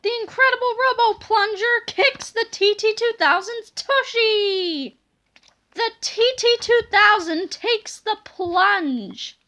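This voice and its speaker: A woman narrating a children's book aloud in an expressive, dramatic way. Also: extremely high pitch, slow pace.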